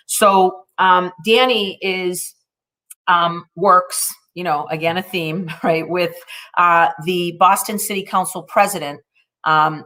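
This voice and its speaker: A woman in her forties leads a panel discussion over a video call. Her voice sits a little low, and she introduces each guest with effusive, encouraging praise. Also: fairly bright tone. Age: 40s. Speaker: panel moderator.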